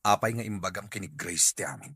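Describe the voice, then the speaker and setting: A man playing a guilty cheating husband in an Ilocano radio drama, earnest and insistent, with a husky voice and Filipino accent. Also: sits low, animated, fast, sharp tone, no echo, clean studio sound, heavily acted. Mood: firm, angry. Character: guilty cheating husband, pleading yet defensive.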